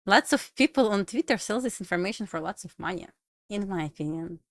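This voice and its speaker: A woman's voice: medium pitch, expressive, fairly bright tone, no room sound. Russian-accented woman, dry close sound. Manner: chatty, halting non-native English with restarts. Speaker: livestream trader. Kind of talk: casual livestream chat.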